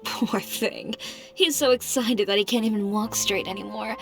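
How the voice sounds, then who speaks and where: A woman's voice: mid-range voice, normal speed, vocal fry, faint music behind, natural voice. A woman playing a practical, blunt costume designer in a game, with a dry and direct way of talking. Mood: cool, wry. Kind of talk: game cutscene dialogue.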